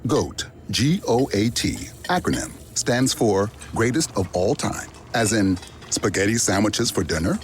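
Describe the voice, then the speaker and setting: Low-voiced dad learning teen slang. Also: male voice, expressive, measured pace, slight background noise. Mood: deadpan, earnest. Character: earnest dad explaining teen slang, slightly clueless. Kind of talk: scripted comic radio ad.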